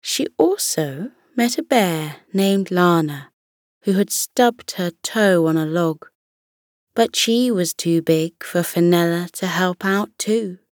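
A woman narrating a children's bedtime story in a slow, soothing style. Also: lowish voice, expressive, darkish tone, no echo, studio quality.

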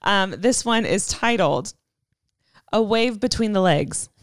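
A woman's voice: medium pitch, slow, clean tone, no room sound, studio quality. Laughing young woman reading a listener story. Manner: laughs often, animated reading. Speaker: podcast co-host. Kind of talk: casual chat, reading a listener story aloud.

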